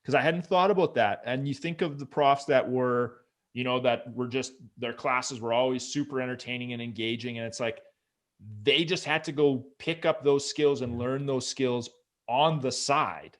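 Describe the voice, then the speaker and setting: A man's voice: mid-range voice, normal speed. Podcast host guy, mid voice, laid back, says "yeah man" a lot. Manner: casual, friendly questions with fillers. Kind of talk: conversational interview.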